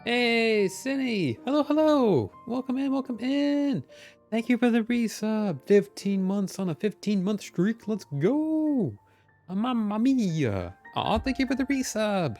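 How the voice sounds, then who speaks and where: A man's voice: sits high, big pitch swings, takes it slowly, darkish tone, faint music behind. A man live streaming a video game, chatting casually with his viewers in an upbeat, friendly way.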